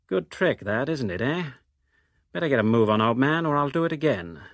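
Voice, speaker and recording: A man's voice: medium pitch, very animated, moderate pace, a bit bright, no room sound, studio quality. A man voicing a middle-aged English thug in an audiobook reading, with mock-chummy old-school slang and a bullying, jovially menacing manner. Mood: gloating threat.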